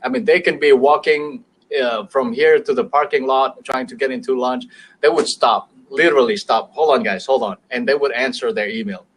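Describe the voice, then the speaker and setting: A man's voice: mid-range voice, fairly even intonation, normal speed, poor audio. A middle-aged man with an Indonesian accent, English his second language, talking about business on a talk show, hesitant with many fillers and restarts. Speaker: business show guest expert.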